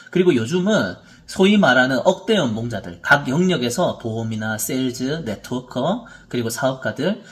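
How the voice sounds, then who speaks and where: Expressive man in his thirties, motivational seminar. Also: mid-range voice. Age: 30s. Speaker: motivational speaker, author and coach.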